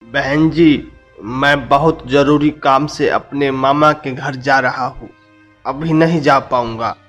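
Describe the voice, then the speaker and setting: A man's voice: medium pitch, fairly even intonation, takes it slowly, a bit bright, highs cut off, faint music behind. A man voicing a polite but firm, reluctant auto rickshaw driver in a cartoon story. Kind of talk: dramatized Hindi cartoon story dialogue.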